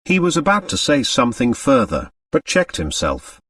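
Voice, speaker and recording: A man's voice: mid-range voice, wildly swooping pitch, normal speed, a bit bright, dry recording, studio quality, far from the mic. A man reading a novel aloud as scripted literary narration for an audiobook.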